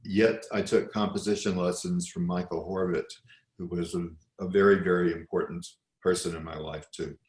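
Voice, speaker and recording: An older man from South Texas with an American accent, talking reflectively in an interview as a composer, often pausing and restarting his phrases. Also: deep voice, flat delivery, a little mellow, reverberant. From South Texas, United States.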